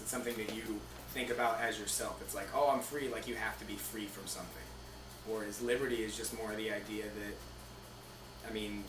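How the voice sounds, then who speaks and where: A man in his late twenties gives casual answers in a lowish voice, thinking aloud in a laid-back way. He is away from the mic in an echoey, noisy room. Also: distant mic. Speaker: interviewee. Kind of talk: casual, off-the-cuff interview answers.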